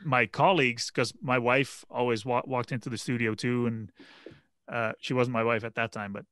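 A man's voice: mid-range voice, steady tone, moderate pace, a bit bright, no echo. Dutch-accented man, dry close sound. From Netherlands. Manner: chatty, restarting sentences, laughing storyteller. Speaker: podcast co-host. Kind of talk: casual chat. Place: home room, video call. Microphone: headphones and desk mic.

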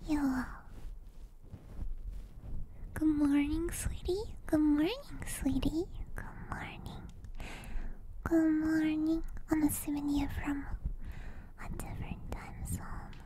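A woman streamer whispering breathily in a soothing, gentle way, chatting casually in ASMR style close to a binaural microphone. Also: sits high, steady tone, slow pace, pauses often, slight background noise. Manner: soothing, gentle personal attention. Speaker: ASMR streamer hosting a live chat. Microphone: close binaural stereo microphone.

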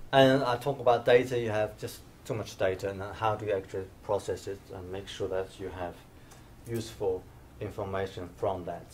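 Man, middle-aged, hesitant lecture, low pitch, faint room noise. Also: fairly even intonation, normal speed, long pauses. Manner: hesitant, many fillers and restarts. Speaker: invited speaker, professor. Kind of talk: conference lecture. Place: dim lecture room, standing by projector screen.

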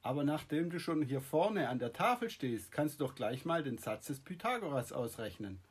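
A man playing a sarcastic male maths teacher in a children's radio play, with a husky voice. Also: a little high, animated, normal speed. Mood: mocking. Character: sneering male maths teacher scolding a daydreamer.

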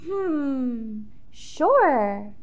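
A woman's voice: high-pitched, sing-song, extremely slow, exaggerated voice. A woman voicing a demure, sweet female goose in a children's storybook, dainty and coy, drawing out her words. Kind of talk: children's storybook read-aloud.

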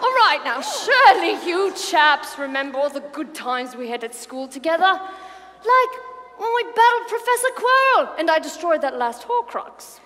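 A woman's voice: sits high, sing-song, moderate pace, sharp tone, exaggerated voice. A woman playing a posh, falsely chummy schoolboy schemer with theatrical, drawling diction in a comic staged reading. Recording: live hall reverb with background noise.

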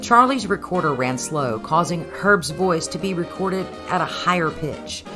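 A woman's voice: on the low side, lively intonation, measured pace, over music. A woman reading scripted historical narration as the narrator of a documentary.

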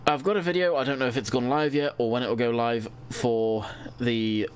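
A man's voice: mid-range voice, steady tone, normal speed, clean tone. A young man with a stuffy, congested voice, chatting casually as a gaming channel host and thinking aloud while he plays. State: congested from a cold. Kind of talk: casual let's-play commentary.

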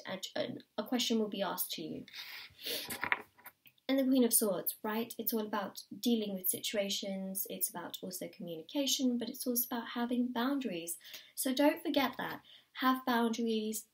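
A woman giving a tarot reading to the viewer, her voice slightly croaky, encouraging and repeating key phrases. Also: on the high side, normal speed, far from the mic. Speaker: tarot reader. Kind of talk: pick-a-card tarot reading to camera. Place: indoors at a table.

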